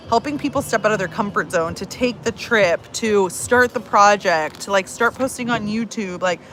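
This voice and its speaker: A woman's voice: medium pitch, fairly bright tone, slight background noise, low-quality recording. A woman in her thirties, a personal growth coach, chatting casually to camera with many fillers. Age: 30s. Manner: chatty, many fillers, reads comments aloud.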